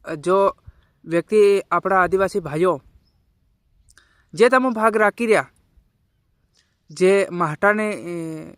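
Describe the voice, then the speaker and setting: A man giving informal social media commentary to viewers in a halting, repetitive, colloquial way. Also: high-pitched, fairly bright tone, clear, resonant tone, no echo, studio quality. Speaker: video creator and community commentator.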